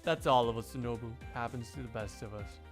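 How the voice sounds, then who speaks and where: A man's voice: mid-range voice, fairly quick, soft background music. A man chatting casually on a game stream as he does a live fandub.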